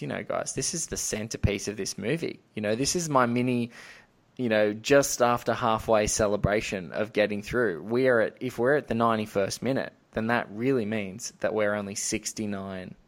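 Low-voiced man, rambling podcast host. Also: normal speed. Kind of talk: enthusiastic film discussion, casual chat.

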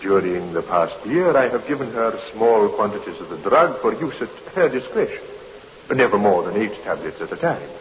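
A doctor testifying in an old radio drama. His low voice moves expressively while staying formal and defensive. The sound is muffled and hissy. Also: very animated, normal speed, long reverb tail. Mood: carefully defensive. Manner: careful, precise, formal. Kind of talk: 1950s radio courtroom drama acting.

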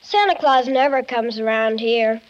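A woman's voice: fairly high pitch, unhurried, lightly acted. An actress voicing an eager, impatient, blunt little boy in a 1950s radio drama. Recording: noisy, muffled old 1950s radio broadcast.

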